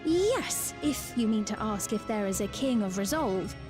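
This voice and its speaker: Slow-spoken, gracious young queen played by an actress. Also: mid-range voice, expressive, music in the background, natural voice. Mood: earnest, measured. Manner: formal, regal, measured diction. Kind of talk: scripted video game voice acting.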